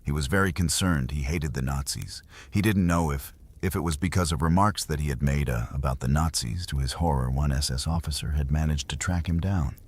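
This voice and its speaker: A man narrating history in an unhurried, conversational way, as if explaining to a listener. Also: rumbling low, slightly rough. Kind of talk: documentary history storytelling.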